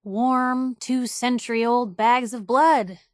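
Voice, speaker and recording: A woman's voice: fairly high pitch, steady tone, takes it slowly, no echo, studio quality. An actress playing a sardonic, plucky young woman reporter in a video game, with wry, conversational quips. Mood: wry, playful. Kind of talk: video game companion voice acting.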